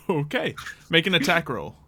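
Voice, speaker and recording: A man's voice: on the high side, huge pitch swings, a little slow, noisy background. A man acting as game master, narrating a tabletop roleplay session in a casual, jokey, informal way.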